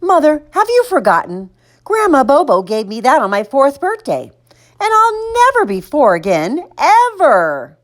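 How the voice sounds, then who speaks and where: Woman as young storybook boy, high voice, swooping pitch, stubborn, pleading, melodramatic. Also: sing-song, slow, a bit bright, exaggerated voice. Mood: indignant. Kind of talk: children's storybook character voice.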